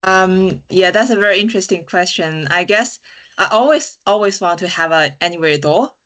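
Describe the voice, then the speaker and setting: A woman's voice: on the low side, moderate pace, a bit bright. A woman from China, a podcast guest speaking thoughtfully and often saying "you know" and "kind of". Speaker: guest, digital engineer. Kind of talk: casual interview chat.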